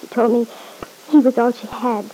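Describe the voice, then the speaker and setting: A woman's voice: a little high, normal speed, dark tone, slight background noise, close to an everyday voice, low-quality recording. An actress playing an anxious, guilt-ridden young mother in an old-time radio soap opera, hesitant, plaintive and defensive. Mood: remorseful.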